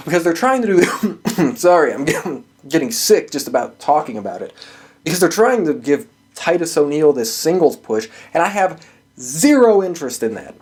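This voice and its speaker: A man's voice: a little high, a little mellow, slightly rough. A man hosting a podcast, giving casual, opinionated solo commentary in a blunt, exasperated way with frequent swearing. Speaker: wrestling podcast host giving predictions.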